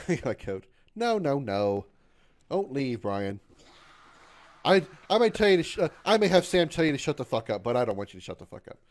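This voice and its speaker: A man in his mid-thirties streams a game and talks casually to his viewers in a voice of ordinary, middle pitch. He sounds glum and runs himself down, circling back over the same points. He trips over his words again and again. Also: big pitch swings, normal speed. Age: 30s. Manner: rambling and repetitive, stumbles over words; glum and self-deprecating. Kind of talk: casual livestream chat with viewers.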